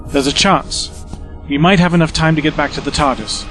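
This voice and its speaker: A man acting a brisk, resolute time-traveling adventurer in an audio drama, speaking decisively. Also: on the high side, music in the background, natural voice. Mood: grave, resolute. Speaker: actor.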